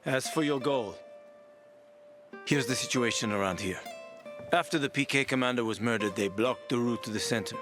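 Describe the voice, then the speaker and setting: A man's voice: mid-range voice, normal speed, pauses often, over music, close to an everyday voice. A man acting a roguish, easygoing survivor and guide in a video game, breezy and persuasive with a wry edge.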